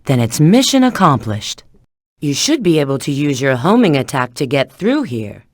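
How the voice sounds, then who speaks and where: A cool, flirty female bat treasure hunter from a video game. She gives knowing instructions in a very lively, swooping delivery. The sound is close and dry. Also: sits low, moderate pace, dry recording. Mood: cool, instructive. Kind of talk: video game character voice acting.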